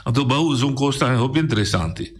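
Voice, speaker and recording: A man's voice: mid-range voice, animated, quick pace. A man hosting a radio discussion who talks in a conversational way, often agrees and restarts his phrases. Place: radio studio.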